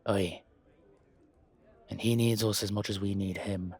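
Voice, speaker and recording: A matey, level-headed leprechaun, acted by a man, keeps the peace in plain colloquial talk. His voice sits low and husky, slow and conciliatory, in the manner of an audio roleplay. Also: steady tone, darkish tone. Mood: persuasive.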